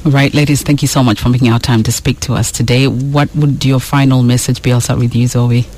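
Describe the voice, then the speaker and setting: A man's voice: medium pitch, little pitch movement, fairly quick, a little mellow. Man hosting with brief prompts. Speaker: host. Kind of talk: radio interview.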